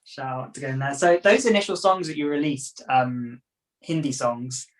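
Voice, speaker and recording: Relaxed male host, a bit off-mic. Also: mid-range voice, moderate pace, far from the mic, a little hiss or hum. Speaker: podcast host. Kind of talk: casual interview chat.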